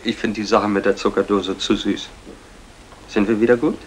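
An actor playing a sarcastic, pedantic husband who needles drily, in the style of a 1960s German radio play. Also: male voice, lowish voice, steady tone, moderate pace, natural voice. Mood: teasing. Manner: dry, sardonic, needling. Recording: noisy old radio play recording.

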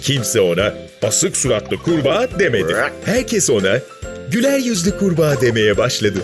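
A man's voice: mid-range voice, huge pitch swings, normal speed, over music. A man narrating a scripted story for children.

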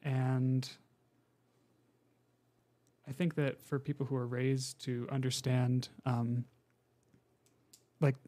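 A man in his twenties from Montana, a college student, speaking in a steady, thoughtful way on a radio talk show. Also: mid-range voice, slow pace, long pauses. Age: 20s.

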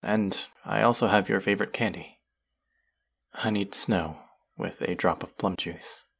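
A man acts out a vengeful fantasy villain for an audio roleplay, speaking straight to the listener. The voice is very low, slow and husky, heavy with bitter menace and grief. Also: fairly even intonation, fairly bright tone, exaggerated voice. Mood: grieving, solemn. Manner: menacing, sardonic, slow and deliberate. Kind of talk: dramatic audio roleplay acting addressed to the listener.

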